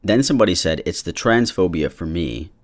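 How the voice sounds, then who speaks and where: A man's voice: low voice, animated, fairly quick, faint music behind. A man giving opinion commentary straight to his viewers in a video.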